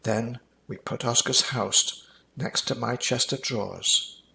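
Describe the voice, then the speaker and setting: An older man reads a children's story in rhyme. His voice is creaky with a bright edge, and he tells it expressively, with a dramatic touch. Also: medium pitch, takes it slowly, a little hiss or hum, low-quality recording. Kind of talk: scripted rhyming children's story narration.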